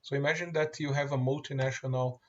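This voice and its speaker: Male presenter, native Portuguese speaker, demoing software, mid pitch, halting delivery, muffled recording. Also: fairly even intonation, slight background noise. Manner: halting, many fillers and restarts. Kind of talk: screen-share product demo.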